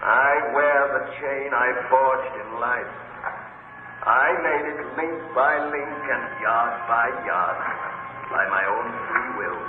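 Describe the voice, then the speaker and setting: An actor playing a solemn, tormented male ghost, intoning gravely in 1930s radio drama style with an echo effect. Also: mid-range voice, takes it slowly, narrow, tinny audio, over music. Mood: mournful. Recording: old radio broadcast, long echo, thin band.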